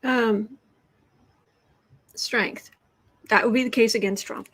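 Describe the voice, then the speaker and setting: A woman hosting a political tarot livestream who reads out cards and viewer comments in a chatty, sarcastic way, often restarting her sentences and clearing her throat. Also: on the high side, steady tone, slow pace, a bit bright. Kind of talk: casual livestream commentary while reading cards and chat.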